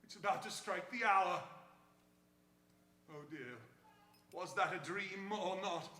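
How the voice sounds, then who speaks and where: A man's voice: high voice, slow pace, pushed and bright, heavily acted. A man playing a miserly, nervy old man in live radio theater, theatrical and hesitant, given to muttering. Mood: fearful, bewildered. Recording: reverberant live theater hall.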